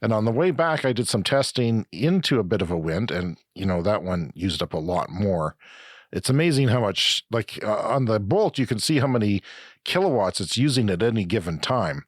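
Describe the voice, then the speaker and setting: Middle-aged podcast co-host, sick and raspy, low-pitched, meandering delivery, close dry mic. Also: male voice, big pitch swings, normal speed, no echo. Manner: rambling, self-correcting explanations. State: raspy from flu. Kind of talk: casual chat.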